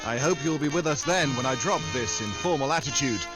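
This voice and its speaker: A man's voice: a little high, lively intonation, brisk, over loud music, close to an everyday voice. A man playing a suave, genial 1940s radio star, addressing listeners in a courtly, polished way. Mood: genial, intriguing.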